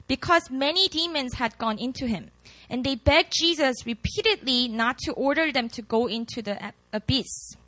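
Bright-voiced Korean-accented woman pastor. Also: high-pitched, no room sound, rough recording. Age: late 20s. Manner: chatty, self-deprecating, laughs often. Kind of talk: sermon with scripture reading.

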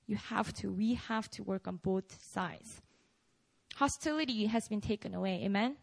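A woman's voice: medium pitch, moderate pace, gravelly. A Korean woman pastor, a native Korean speaker, giving a casual, conversational sermon at a prayer meeting, with many fillers.

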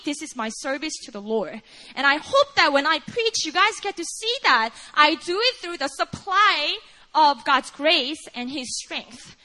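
Bright-voiced Korean woman preaching. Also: high voice. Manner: earnest, animated, frequent "like" and "you know". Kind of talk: sermon with personal story.

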